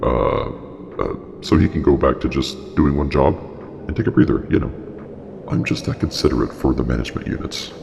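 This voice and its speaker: A profoundly deep, crackling service unit talks through a long reverb. It is self-important and falsely considerate, a schemer with a swooping delivery in an analog horror web series. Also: male voice, a little fast, darkish tone, heavily acted. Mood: smug, scheming. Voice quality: very deep, creaky, breaking. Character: smug, scheming service unit angling for promotion. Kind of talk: in-character mock interview. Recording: long reverb, faint music.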